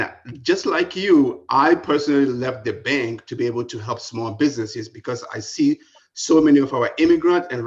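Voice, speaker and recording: Man, Ghanaian accent, polite, measured, muffled lo-fi call audio. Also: medium pitch. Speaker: audience member asking a question.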